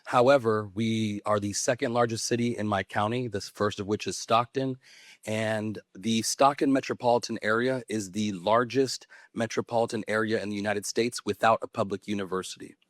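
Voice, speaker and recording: A man in his thirties from California addresses a panel audience with earnest conviction. His voice sits low, and the sound is dry and close, with little room around it. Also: fairly even intonation, normal speed, no echo. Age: 30s. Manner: earnest, passionate advocacy. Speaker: mayor on a panel. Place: conference panel.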